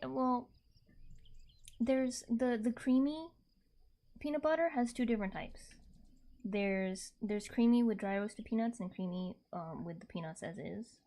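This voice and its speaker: A woman's voice: fairly high pitch, mellow. A woman chatting casually as she hosts a livestream, talking to her viewers.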